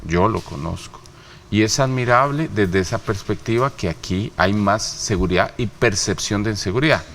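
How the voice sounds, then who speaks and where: A man's voice: lowish voice, moderate pace, a bit bright, a little hiss or hum. A man from Rica, giving emphatic interview answers full of rhetorical questions. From Costa Rica. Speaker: interview guest, human rights official.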